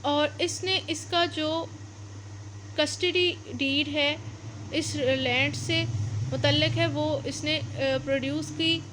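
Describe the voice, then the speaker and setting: A woman's voice: sits high, fairly even intonation, slow, background noise. A woman with a Pakistani accent giving an explanatory law lecture, reading clauses aloud and then explaining them repetitively, switching between two languages. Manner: repetitive, code-switching between English and Urdu.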